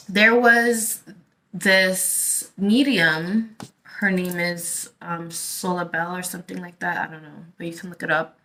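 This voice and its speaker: Bright-voiced, slow-talking woman vlogger. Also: on the low side. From Dominican Republic. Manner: halting, rambling, thinking aloud. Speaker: tarot reader and channel host. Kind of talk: casual vlog talk to viewers.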